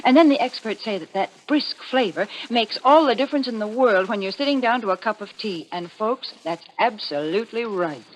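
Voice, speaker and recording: A woman's voice: fairly high pitch, expressive, brisk, few pauses, a little hiss or hum, lightly acted, rough recording. A woman playing a prim, cheerful sponsor's spokeswoman on 1940s radio, chatty and emphatic in a folksy, persuasive way. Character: prim, cheerful sponsor's woman who scolds the ghoulish host. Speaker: commercial announcer. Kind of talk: 1940s radio advertising pitch.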